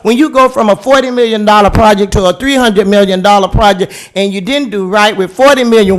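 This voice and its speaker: High-voiced guy at a city meeting, fired up and loud, pushing his point hard. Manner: passionate, emphatic, loud. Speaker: board member at a city meeting. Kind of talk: impassioned public meeting speech. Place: council chamber.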